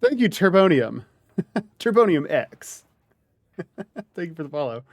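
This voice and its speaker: A man's voice: fairly high pitch, sing-song, a little slow. A man streaming a game, chatting casually with friends, joking and laughing often. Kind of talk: casual gaming chat.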